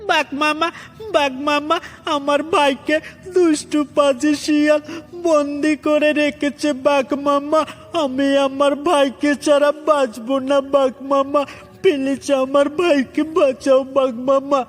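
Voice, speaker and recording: A man's voice: very high voice, flat delivery, takes it slowly, voice pushed up. A man voicing a frightened little bird child in a children's cartoon, pleading tearfully and repeating words. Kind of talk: Bengali children's cartoon storytelling. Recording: heavy reverb with music.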